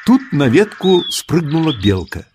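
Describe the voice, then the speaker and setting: A man narrating a scripted children's story in an expressive storytelling manner. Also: on the high side, measured pace, over music. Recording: birdsong behind the voice. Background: birdsong.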